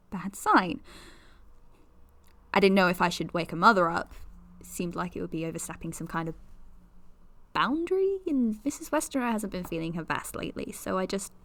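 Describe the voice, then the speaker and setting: A woman's voice: medium pitch, fairly bright tone, dry recording. An actress playing a young woman podcaster who talks in a confiding, nervous, self-deprecating way. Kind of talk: audio drama monologue.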